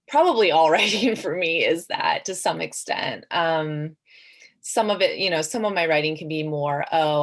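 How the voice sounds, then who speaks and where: Low, creaky-voiced woman, slow and reflective. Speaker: author on a festival panel. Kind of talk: casual, reflective panel talk.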